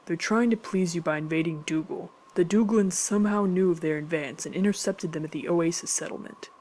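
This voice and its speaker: A man playing a stern, loyal, skeptical second-in-command in an animated fantasy drama, speaking in a dry, formal, matter-of-fact way. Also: on the high side, brisk, close to an everyday voice. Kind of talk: scripted animated drama dialogue.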